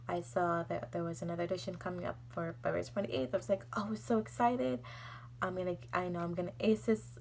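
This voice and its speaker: A woman's voice: lowish voice, creaky, slight background noise. A young woman telling a personal story in a casual, exasperated, rambling vlog style. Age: young adult. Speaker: vlogger.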